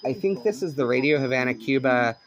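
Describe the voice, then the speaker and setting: A man's voice: medium pitch, fairly quick, a crowd in the background. A man hosting his own channel, chatting casually while he tunes a radio at night indoors, recorded on a cell phone. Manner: relaxed, musing commentary over radio. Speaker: shortwave radio hobbyist host. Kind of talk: casual chat.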